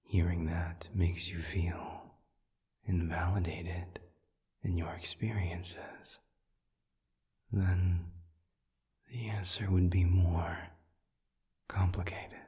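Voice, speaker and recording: A man playing a calm, considerate demon in an intimate audio roleplay, speaking in a breathy near-whisper with measured, formal, gentle phrasing. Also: sits low. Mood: measured, reassuring. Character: calm, considerate male sadism demon offering comfort.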